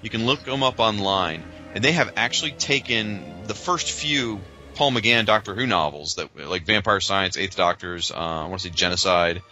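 Bright, forceful man co-hosting a podcast. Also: fairly low pitch, normal speed, few pauses, muffled recording, soft background music. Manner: informal, opinionated, chatty. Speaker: podcast co-host. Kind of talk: casual discussion with loose summaries from notes.

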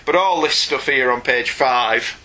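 A man's voice: mid-range voice, measured pace, sharp tone, poor audio. A British man co-hosting a comics podcast, reviewing in a conversational, opinionated and wry way. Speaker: podcast co-host.

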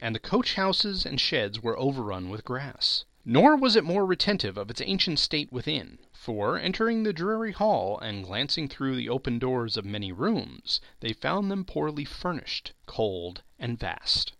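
A man's voice: medium pitch, big pitch swings, measured pace, creaky, few pauses, a bit bright, no echo. A man reading a classic story aloud as dramatic narration, theatrical and emphatic.

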